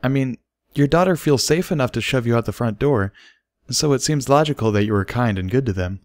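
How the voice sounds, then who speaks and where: A man's voice: mid-range voice, lively intonation, fairly quick, dry recording, clean studio sound, voice pushed up, close to an everyday voice. A man voicing a nervous, earnest male pony, an awkward suitor who speaks hesitantly, in a storybook reading. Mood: reassuring. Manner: hesitant, stammering.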